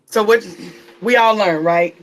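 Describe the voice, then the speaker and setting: A woman hosting a church panel discussion, lively and preacherly, repeating her phrases. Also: mid-range voice, a little slow, a little hiss or hum, low-quality recording.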